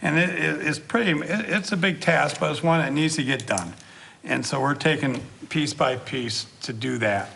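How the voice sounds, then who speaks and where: A man's voice: on the high side, moderate pace, creaky, pushed and bright, slight background noise. A man from chairing a township board meeting, talking in a rambling way with many restarts.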